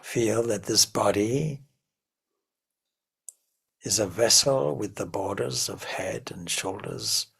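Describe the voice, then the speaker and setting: A man with a very husky, gravelly voice leads a guided meditation. He speaks slowly and in measured phrases, leaving long pauses as he gently instructs. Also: fairly low pitch. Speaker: meditation teacher.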